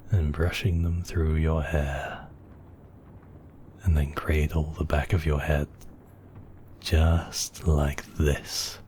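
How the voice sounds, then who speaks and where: A man's voice: very deep voice, a little mellow, slight background noise, heavily acted. A man playing a seductive, obsessive vampire count in an intimate ASMR roleplay, murmuring and often slipping into whispers. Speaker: audio roleplay voice actor.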